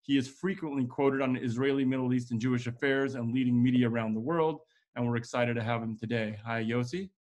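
A man's voice: mid-range voice, steady tone, fairly quick, few pauses, darkish tone. A man in his thirties hosting a webinar on a video call, reading out guest introductions in a scripted, upbeat way. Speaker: host introducing panel. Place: home room with plants.

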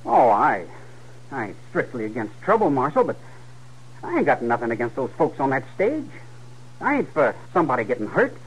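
An actor playing a defiant, earnest teenage frontier son with a rural American Western drawl in a 1950s radio western. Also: male voice, mid-range voice, sing-song, moderate pace, slightly rough, low-quality recording. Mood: earnest, uneasy. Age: young adult. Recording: old 1950s radio recording.

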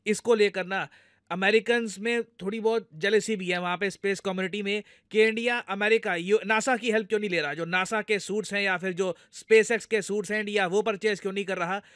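A man's voice: high-pitched, fairly even intonation, fairly quick, fairly bright tone, smooth, no room sound, clean studio sound. A man with an Indian accent giving explanatory news commentary in a conversational way, addressing viewers directly and going step by step. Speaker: news commentator, video host.

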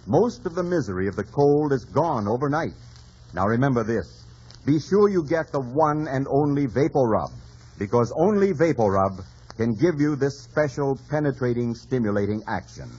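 A man's voice: mid-range voice, a little mellow, slightly rough. A man announcing on the radio, reading a vintage commercial with an emphatic sales delivery. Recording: muffled old radio broadcast.

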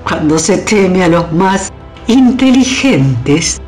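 A woman's voice: lowish voice, very animated, slow pace, darkish tone. An actress playing a proud, domineering older queen in a historical radio drama, with a theatrical, scornful delivery. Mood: taunting, proud. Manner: theatrical, emphatic repetitions, haughty. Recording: music under the voice.

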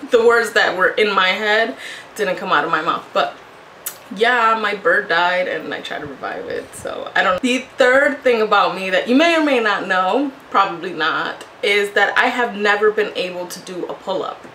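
A woman's voice: mid-range voice, animated, normal speed, sharp tone. A woman in her thirties from Puerto Rico, a native Spanish speaker, telling personal stories casually and candidly to the camera. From Puerto Rico, moved to Connecticut as a child. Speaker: video blogger. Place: small home room, indoors. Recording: echoey room, faint background noise.

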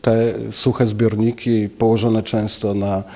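A man in his fifties, a local official giving a news interview in an office, speaking haltingly with many filler sounds into a handheld mic. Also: on the low side, flat delivery, warm tone. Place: office, indoors. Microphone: handheld station mic.

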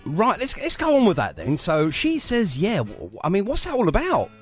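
A male radio host chats in an animated, slightly high voice that moves freely up and down. He is jokey and effusive, pausing to find his words, with faint music behind him. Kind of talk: chatty music radio interview.

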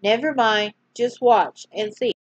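A woman's voice: a little high, measured pace, slightly rough, no echo. A woman voicing a poor widowed mother who is anxious but hopeful, speaking urgently. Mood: calm. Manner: urgent, pleading. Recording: dull lo-fi dry recording.

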